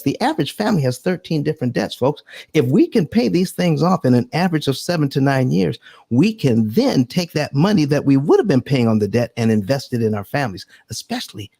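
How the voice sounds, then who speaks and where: A man's voice: medium pitch, darkish tone. A man hosting a live finance show who talks emphatically, repeats himself and calls the audience folks. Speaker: host.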